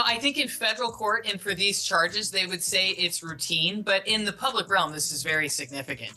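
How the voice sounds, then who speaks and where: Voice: mid-range voice, pushed and bright, rough recording. A commentator explaining a court case in a conversational news segment.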